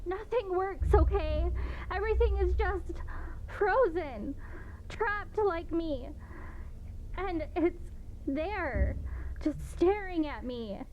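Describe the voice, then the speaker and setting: Casting: actress as trapped horror-drama victim, very high, slow halting pleas, despairing, muffled lo-fi capture. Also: female voice, darkish tone. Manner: halting, pleading. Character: terrified, despairing woman trapped in her apartment by a creature. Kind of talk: found-footage audio horror drama. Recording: dull lo-fi audio, faint noise.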